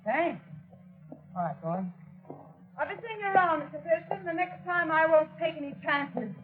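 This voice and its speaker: An actress playing a tough, accusing young woman with a sharp, defiant manner in an old radio drama. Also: very high voice, expressive, normal speed, faint music behind. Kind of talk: 1940s radio acting. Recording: tinny phone-like old radio recording.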